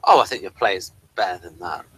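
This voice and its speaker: A man talks through a casual review as co-host. His voice is bright and forward, and his delivery is hesitant and meandering, circling around each point. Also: deep voice, animated, quick pace, slightly rough. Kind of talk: casual chat reviewing audio drama.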